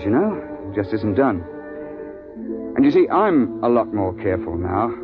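An actor playing a seasoned, regretful but firm hunting guide in a 1950s radio drama. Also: male voice, on the low side, close to an everyday voice. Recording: dull vintage 1950s radio recording with music.

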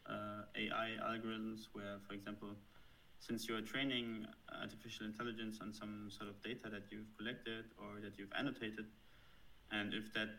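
A man's voice: lowish voice, gravelly, sharp tone, a little hiss or hum, low-quality recording. A man in his thirties with a German accent, answering interview questions thoughtfully, with frequent fillers and restarts. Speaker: software developer guest.

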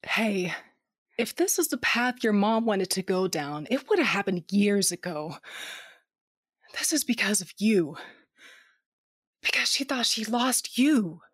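A woman's voice: medium pitch, very animated, unhurried, pauses often, a bit bright, husky, no echo. A woman narrates an audio drama in the first person and also speaks as its lead. Her delivery is wry and determined. The recording is close and dead dry, with no room sound.

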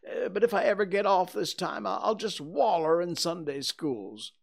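A man voicing an excitable, bossy and superstitious young boy with an emphatic, dramatic rustic dialect. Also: high voice, moderate pace, creaky, voice pushed up. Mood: remorseful.

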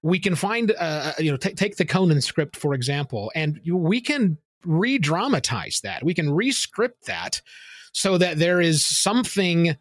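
A man's voice: fairly high pitch, big pitch swings, measured pace, no echo. A man answering interview questions in an opinionated, emphatic way, explaining his points with examples. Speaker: podcasting guest expert.

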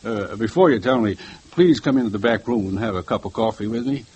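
A man's voice: on the low side, fairly quick, a little mellow, muffled recording, natural voice. Guy doing a polite stammery old shopkeeper, old radio play, bit of hiss. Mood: courteous, fussy. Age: older. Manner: hesitant, courteous, stammering. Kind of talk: 1950s radio drama acting.

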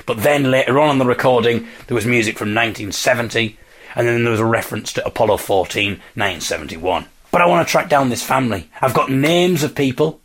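A man with a slightly husky voice hosting a podcast, telling a story conversationally with enthusiastic, emphatic delivery and dramatic repetition. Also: medium pitch, a bit bright. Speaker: podcast host.

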